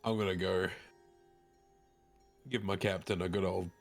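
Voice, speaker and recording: A man's voice: low-pitched, fairly even intonation, a little slow, faint music behind. A man playing a tabletop role-playing game, chatting casually and chiming in as a player.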